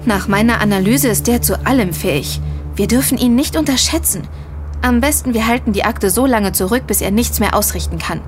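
An actress playing an analytical, level-headed young amateur sleuth in an audio drama, speaking matter-of-factly. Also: female voice, a little high, a little fast, faint music behind, lightly acted. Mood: cautious, analytical. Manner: matter-of-fact. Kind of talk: German audio drama acting.

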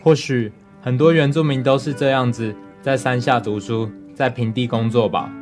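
Man acting a medium-pitched, concerned male friend. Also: fairly even intonation, normal speed, a little mellow, soft background music, natural voice. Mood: sympathetic. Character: concerned, gently teasing older male friend. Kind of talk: radio drama dialogue.

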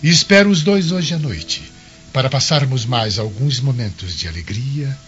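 Ator fazendo governador romano pomposo e expressivo. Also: male voice, medium pitch, lively intonation, moderate pace, a bit bright, close to an everyday voice. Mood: effusive, suggestive. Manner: effusive, smug, imperious. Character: pompous, pleasure-loving Roman governor flattering guests. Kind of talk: radio drama acting. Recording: dull lo-fi, music underneath.

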